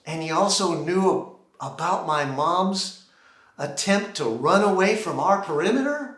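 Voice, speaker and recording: An American man performing a theatrical solo monologue, deliberately acting out one side of a phone call on a theater stage. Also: high-pitched, animated, a bit bright. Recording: roomy, echoing live theater sound.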